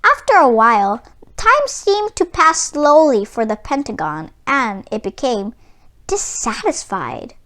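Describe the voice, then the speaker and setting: A woman reading a children's book aloud in an expressive storytelling style. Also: on the high side, measured pace.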